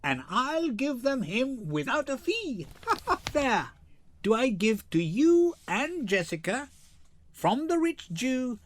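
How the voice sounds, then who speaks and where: A man's voice: extremely high pitch, very animated, slow, slight background noise, pitched above the performer's own voice, exaggerated voice. An older man voicing a saucy, teasing young waiting-woman in a theatrical Shakespeare reading. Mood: pleased. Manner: playful, theatrical.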